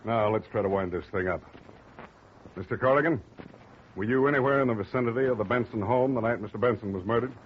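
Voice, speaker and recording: An actor playing a gruff, needling male police lieutenant in a 1950s radio drama. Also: medium pitch, quick pace, slight background noise, natural voice. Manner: needling, sarcastic. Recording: dull old radio broadcast.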